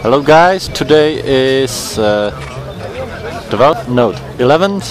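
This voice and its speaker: A man's voice: medium pitch, animated, slow pace, crowd noise. A man asking passersby questions in a street interview, with short and tentative prompts full of fillers.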